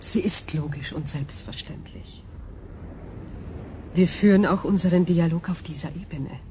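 An actress voicing an ancient, logical female crystal being in a radio drama, speaking in a measured, formal and deliberate way. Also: lowish voice, lightly acted. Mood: calm, pensive. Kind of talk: German radio drama acting. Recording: clear background noise.

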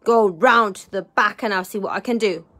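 A woman's voice: medium pitch, normal speed, pushed and bright. A woman voicing a rude, pompous rich man in a children's story, curt, bellowing and snappish. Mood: cross.

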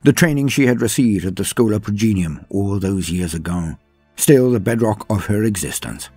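Deep, grave male storyteller over music. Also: animated, mellow, slightly rough, dry recording. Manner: grave, portentous, with deliberate dramatic pauses. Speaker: narrator. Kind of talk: dramatic scripted story narration.

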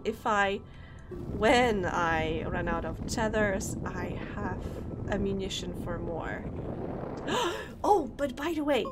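A young woman with a Polish accent, a Polish native speaker chatting bubbly on a gaming live stream and greeting viewers. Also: mid-range voice. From Poland. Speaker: VTuber streamer. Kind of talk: casual live-stream chat while gaming.

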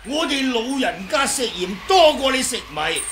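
Radio drama role: quarrelsome old kung fu master, very high bright voice, swooping, Hong Kong Cantonese. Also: male voice, sing-song, moderate pace. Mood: smug, scolding. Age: older. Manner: wheedling, argumentative, exclaiming and chuckling. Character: cheeky, blustering old male martial artist, quarrelsome sore loser. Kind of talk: 1980s radio drama acting. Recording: noisy old 1980s radio recording.

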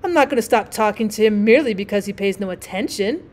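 A woman's voice: mid-range voice, animated, quick pace, darkish tone, natural voice. A woman voicing a vain male firework rocket in a storybook, with a haughty, boastful, declamatory manner.

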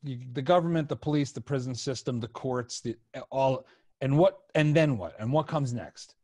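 A man's voice: medium pitch, normal speed, darkish tone. A middle-aged American man in casual discussion as a podcast host, speaking into a desk microphone. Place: home room with sofa, video call. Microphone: desk microphone with headset.

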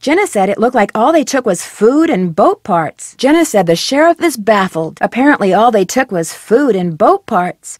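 A woman's voice: fairly high pitch, no echo, studio quality. A woman voicing a curious young female detective in a video game, asking questions in a polite, earnest way. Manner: inquisitive, bright and earnest. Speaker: voice actor for a game heroine.

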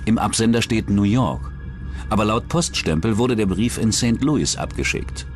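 A man narrates a true-crime documentary from a script. His voice is fairly low and moves in pitch within a narrow range, with music playing underneath. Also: animated, moderate pace.

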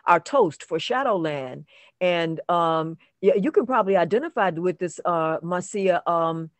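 An older woman, an actress being interviewed on a video call, reflecting conversationally, halting and repeating words for emphasis. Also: fairly low pitch, animated, no echo. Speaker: actress guest.

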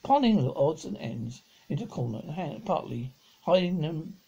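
A middle-aged man reads a children's novel aloud in a raspy, mellow voice. His pitch sits a little high and swings about freely. The delivery is halting, with stumbled and repeated words. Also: sing-song, poor audio. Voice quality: husky, gravelly. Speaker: amateur audiobook reader. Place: living room at home, on a sofa.

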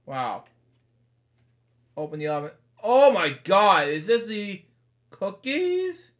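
A man's voice: sits high, huge pitch swings, takes it slowly. A man in his thirties reading a picture book aloud in a small bedroom, rambling with casual asides and stock phrases.

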